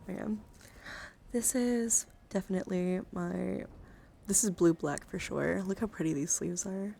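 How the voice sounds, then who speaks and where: A woman livestreamer talking in a casual, rambling ASMR style, with a soft voice that often slips toward a breathy whisper, close to the microphone. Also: on the low side, a little slow, slight background noise. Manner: rambling, informal. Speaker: livestreamer showing card decks.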